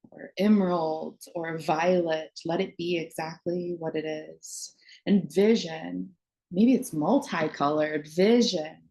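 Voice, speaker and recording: A woman guides a meditation in a lowish, very mellow voice. Her delivery is very slow and soothing, leaving long pauses between short phrases. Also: rough recording.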